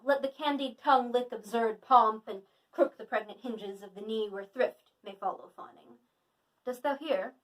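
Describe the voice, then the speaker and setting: A woman's voice: on the high side, far from the mic, natural voice, rough recording. A woman playing an earnest, brooding young prince in a declamatory Shakespearean monologue, confiding in a friend. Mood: warm, earnest, then intense admiration.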